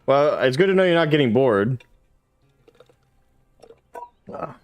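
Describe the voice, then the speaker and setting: A man's voice: mid-range voice, animated, fairly quick, no room sound. A man in his twenties streaming a game live, chatting casually with his chat in a rambling, self-deprecating way and laughing often. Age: 20s.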